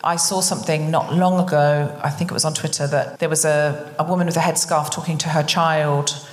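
A woman's voice: low-pitched, fairly even intonation, a little fast. A woman hosting a live podcast, chatting casually and giving her opinions in a conversational, stop-and-start way. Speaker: podcast host. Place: indoor live venue stage. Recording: reverberant live hall with audience.

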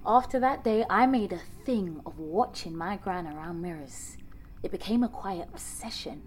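A woman's voice: on the low side, normal speed, natural voice. A woman playing an anxious, wry young woman in an audio drama monologue, speaking candidly and conversationally in a London British accent. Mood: reflective, uneasy. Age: young adult. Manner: candid, self-mocking, sweary, animated.

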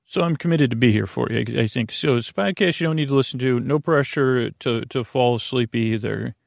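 A man with a creaky voice hosting a sleep podcast in a meandering, halting monologue that often interrupts itself. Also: mid-range voice, no echo, clean studio sound. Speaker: podcast host.

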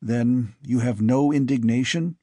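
A man voicing a weary, brooding older father who speaks in a soft, tired, probing way. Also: mid-range voice, a little slow, dry recording, close to an everyday voice.

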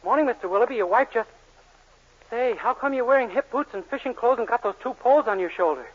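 An actor playing a naive, bashful young American soda jerk, earnest and guileless, in a 1940s radio sitcom. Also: male voice, very high voice, expressive. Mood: helpful, chipper. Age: young adult. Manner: earnest, guileless, halting when shy. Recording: muffled, noisy 1940s radio recording.

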